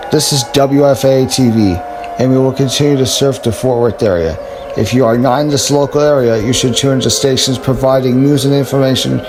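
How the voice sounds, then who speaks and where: A man's voice: medium pitch, lightly acted. A man playing an official television emergency announcer, reading a scripted notice in a formal, businesslike way. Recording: clearly noisy recording.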